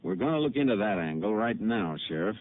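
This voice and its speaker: An actor plays a steady lawman who questions people in a calm, clipped, matter-of-fact way. His voice is very deep. It comes over a thin, tinny old radio broadcast with a faint hiss. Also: brisk, natural voice. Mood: firm. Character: steady Texas Ranger investigator and narrator. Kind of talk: 1950s radio drama narration and acting.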